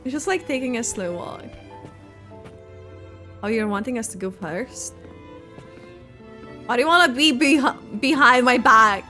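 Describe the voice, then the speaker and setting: A woman's voice: fairly high pitch, expressive, measured pace, long pauses, bright, forceful tone. A woman gaming creator giving casual, hesitant live reaction commentary as she plays, often trailing off. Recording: faint game music behind.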